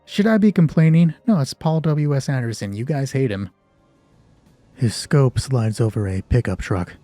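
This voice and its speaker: A man's voice: medium pitch, lively intonation, moderate pace, warm tone, no room sound, faint music behind. A man narrating a solo tabletop roleplay as game master, thinking aloud in a conversational way.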